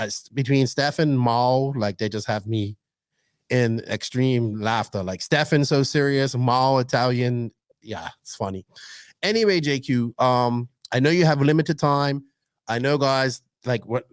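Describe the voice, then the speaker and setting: A man hosting a casual podcast, animated and teasing, rambling through his stories. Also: medium pitch, dry recording, clean studio sound. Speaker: podcast host. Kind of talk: casual chat.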